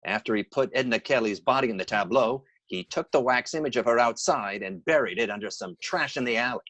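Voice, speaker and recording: An actor playing an eager, streetwise police detective in a radio drama, talking in colloquial gumshoe slang. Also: male voice, medium pitch, brisk. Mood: eager reporting. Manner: animated, colloquial. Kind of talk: old-time radio drama acting.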